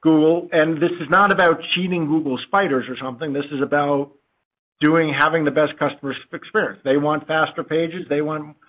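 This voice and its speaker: A man speaking as a company chief executive on an earnings call, unscripted and informal, with a halting, digressive delivery. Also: fairly high pitch, steady tone. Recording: dull lo-fi conference call audio.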